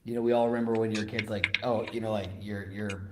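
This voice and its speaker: Low-voiced man in an echoey room. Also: flat delivery, fast talker, very noisy. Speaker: podcast host. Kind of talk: casual reflective chat. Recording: echoey, roomy sound.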